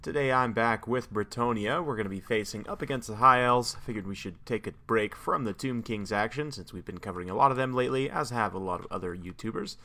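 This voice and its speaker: Slightly hoarse man commentating gameplay. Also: on the low side, fairly quick, few pauses, pushed and bright. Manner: talkative, frequent "uh" restarts. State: slightly hoarse, losing his voice. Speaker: gaming YouTuber commentating a strategy battle.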